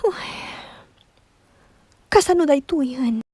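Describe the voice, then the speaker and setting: A woman's voice: high voice, expressive, slow pace, noisy background. A woman with an Ilocano accent acting a hesitant, calculating young woman in a radio drama. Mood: musing, scheming.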